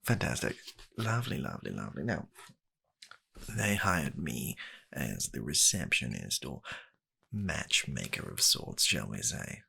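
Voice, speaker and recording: A British man softly playing a polite, fussy gentleman matchmaker in a comedic ASMR roleplay, hesitating and repeating his reassurances. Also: rumbling low, big pitch swings, unhurried, full character voice. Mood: courteous, welcoming. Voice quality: gravelly, soft-spoken.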